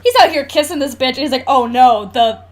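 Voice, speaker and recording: A woman's voice: high voice, brisk. A young adult woman co-hosting a podcast, bantering casually with joking, sweary interjections. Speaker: podcast co-host.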